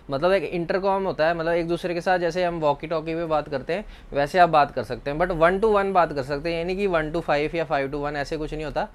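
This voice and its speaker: A man's voice: a little high, clean tone. A man in his thirties with an Indian accent, explaining products to camera in an informal, instructive vlog style. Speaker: vlog host and bike accessories seller. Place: small indoor accessories shop.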